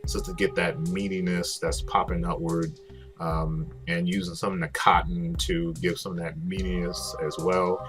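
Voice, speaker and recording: A man's voice: low-pitched, little pitch movement, normal speed. A man narrating a craft tutorial in a casual, rambling way, swearing freely. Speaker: host, prop maker. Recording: music behind the voice.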